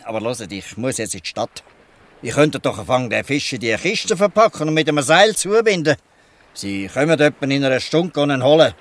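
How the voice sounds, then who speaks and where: A weary old fisherman of an audio drama, acted by a man, with a Swiss German accent. He sits at a middle pitch and explains in a folksy, halting way, kind underneath his tiredness. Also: very animated, close to an everyday voice. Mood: wry, resigned. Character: weary, good-natured old fisherman, father figure to children. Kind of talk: dialect audio drama acting.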